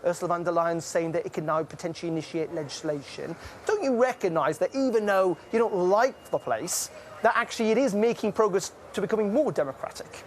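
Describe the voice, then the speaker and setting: A man's voice: high voice, fairly quick, slight background noise. A man presenting live television news, talking off the cuff with many fillers. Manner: loose, filler-heavy live delivery.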